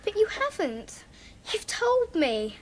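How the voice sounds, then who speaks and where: A woman's voice: very high voice, big pitch swings, takes it slowly, full character voice, low-quality recording. A woman playing a kind, polite young girl in a radio theatre drama, earnest and pleading with proper diction. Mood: trusting.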